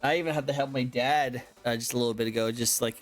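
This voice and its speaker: A man's voice: medium pitch, fairly even intonation, fast. Upbeat man hosting a chat show. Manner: upbeat, repeats phrases, playful. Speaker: talk show host. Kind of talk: casual interview chat.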